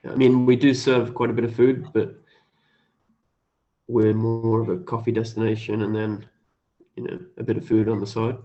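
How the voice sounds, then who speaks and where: A man speaking in a casual, low-key way as an interview guest, on dull lo-fi audio. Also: level tone, moderate pace, long pauses. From Australia. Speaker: interview guest, cafe owner. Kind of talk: casual, low-key chat and plain reading aloud.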